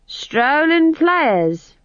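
A woman's voice: high voice, very animated, no room sound, pitched above the performer's own voice, exaggerated voice. A woman voicing a cheerful, silly young male actor who giggles and drags out his words. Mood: giggly. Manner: giggly, goofy, drawn-out. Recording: slightly dull, dry recording.

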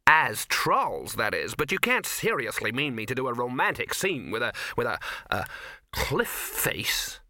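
A man voicing an indignant woman who protests in a halting way. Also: medium pitch, big pitch swings, sharp tone, dry recording, clean studio sound, full character voice. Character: indignant young woman balking at a role.

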